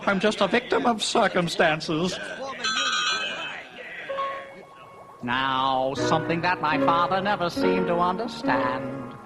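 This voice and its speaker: An actor playing a whiny, greedy, self-pitying bumbling villain in a children's musical audio storybook. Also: male voice, a little high, lively intonation, a little slow, loud music behind the voice. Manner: theatrical, self-pitying, whining. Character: whiny, greedy, vain bumbling villain, grown mama's boy.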